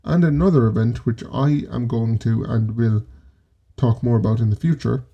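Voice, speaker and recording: A man with an Irish accent narrating a scripted history piece in a measured storytelling way, dropping in wry asides. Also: medium pitch, vocal fry, highs cut off. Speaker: presenter.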